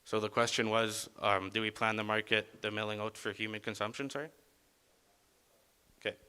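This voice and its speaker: A man's voice: fairly low pitch, steady tone, moderate pace, sharp tone, smooth. A young man, a college student, wrapping up a class presentation and answering audience questions, repeating each question back with a few hesitant fillers.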